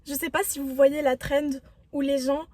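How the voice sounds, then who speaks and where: A young woman with a high, soft and mellow voice talks straight to her viewers. She gives self-help advice in an earnest, reflective way. Her tone stays encouraging throughout. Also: flat delivery, moderate pace, no room sound. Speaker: vlogger. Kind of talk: motivational self-help talk.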